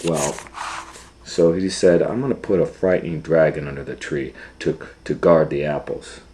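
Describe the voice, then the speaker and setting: A man's voice: rumbling low, steady tone, moderate pace, warm tone, a little hiss or hum, poor audio. A man reading a children's storybook aloud as a storytime host, retelling it informally with musing asides. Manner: improvised asides and musing hums.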